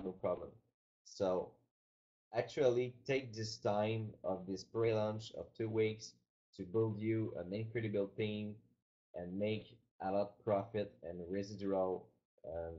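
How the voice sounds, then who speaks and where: A man with a Quebec French accent, presenting on a business webinar, his deep, mellow voice coming through dull lo-fi online audio. He speaks haltingly, stopping and restarting his sentences. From Quebec, Canada. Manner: halting, many fillers and restarts.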